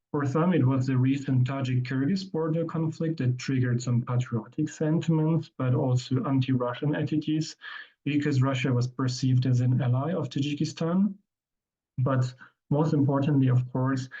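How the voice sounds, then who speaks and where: A man presenting his research at an academic conference, speaking in a measured way with frequent ums and restarts. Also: mid-range voice, warm tone, dull audio.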